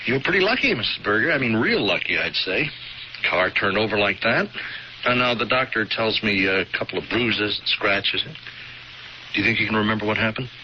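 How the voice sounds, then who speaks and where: A man's voice: lowish voice, animated, normal speed, lightly acted. Radio drama trooper, male actor: courteous, mildly official, hesitant, on a dull, noisy recording. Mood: apologetic, easygoing. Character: courteous, apologetic state trooper taking a report.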